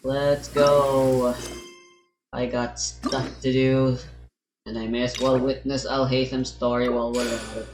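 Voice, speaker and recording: A man's voice: medium pitch, little pitch movement, measured pace, reverberant, a little hiss or hum. A man giving casual, rambling commentary on his own gameplay, swearing now and then and talking to himself. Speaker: gamer streaming his play.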